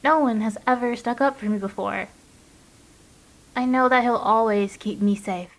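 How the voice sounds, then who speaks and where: A woman playing a gentle, earnest young waitress, confiding hesitantly in an audio drama monologue. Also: fairly high pitch, moderate pace, slight background noise, close to an everyday voice, low-quality recording. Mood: relieved, adoring.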